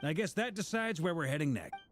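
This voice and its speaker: A man playing an easygoing, friendly young male adventurer in a video game, expressive and conversational. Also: on the high side, a little fast, music in the background, close to an everyday voice. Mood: playful pleading.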